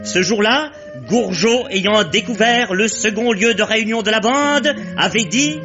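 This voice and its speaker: An actor narrating a 1950s French radio serial in a measured, mock-solemn way. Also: male voice, high-pitched. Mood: solemn, storytelling. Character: storyteller announcing chapters, wry and solemn. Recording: muffled old radio recording, music behind.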